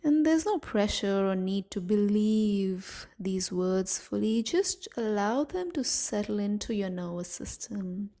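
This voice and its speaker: A woman leading a guided meditation in a soothing, gentle manner, leaving long pauses between short phrases. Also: medium pitch, lively intonation. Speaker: meditation guide and series host.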